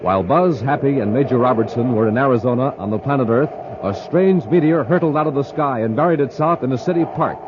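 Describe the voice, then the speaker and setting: A man's voice: medium pitch, quick pace, hardly any pauses. A man announcing and narrating in a dramatic 1950s radio style. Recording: noisy, dull old radio recording.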